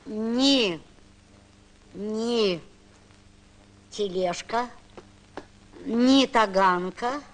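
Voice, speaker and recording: An actress playing an elderly grandmother in a comic children's film, halting and musing aloud as she puzzles over things. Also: mid-range voice. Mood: musing, puzzled. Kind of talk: comic acting in children's educational newsreel. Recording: noisy old Soviet film soundtrack.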